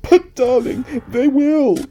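A man's voice: high-pitched, normal speed, mellow, dull audio, slight background noise, voice pushed up. A man voicing a tender, anxious mother fox in a storybook reading. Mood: distraught.

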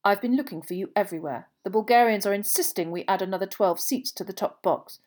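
A woman's voice: medium pitch, fast talker, darkish tone, lightly acted. A woman voicing a stiff, impatient elderly man, a wizard official with a dry, clipped, formal manner, in an audiobook.

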